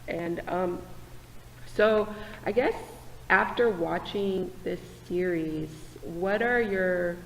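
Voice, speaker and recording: A woman hosting a casual panel discussion in a church meeting room, talking in a relaxed, hesitant way with many fillers. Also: lowish voice, slow pace, big-room sound, a little hiss or hum, rough recording. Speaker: host.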